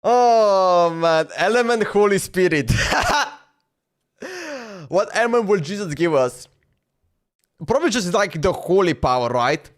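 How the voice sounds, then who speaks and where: A man's voice: high-pitched, lively intonation, a little slow, long pauses. A man livestreamer chatting casually in a slangy, exclamatory way as he reacts, often repeating himself. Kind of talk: casual reaction stream chat. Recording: background music under voice. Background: music.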